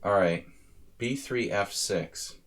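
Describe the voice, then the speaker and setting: A man's voice: fairly low pitch, takes it slowly, a bit bright. A man in his thirties casually commenting on his chess games on a live stream, joking with chat as he thinks aloud about moves. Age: 30s.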